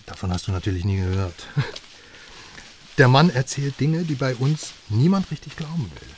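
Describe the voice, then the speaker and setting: Actor as brash journalist, slightly off-mic. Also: male voice, medium pitch, lively intonation, moderate pace, darkish tone, lightly acted. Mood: eager, sardonic. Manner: chatty, sardonic, emphatic. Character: brash, eager West German investigative journalist. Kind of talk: naturalistic radio drama dialogue. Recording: slightly distant voice, faint outdoor noise.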